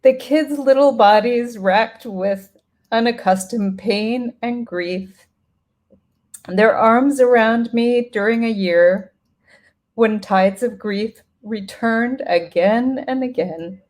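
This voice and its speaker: A middle-aged woman reads a reflective personal letter aloud in a solemn, tender, measured way. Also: a little high, darkish tone. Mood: emotional. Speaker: writer reading own letter aloud.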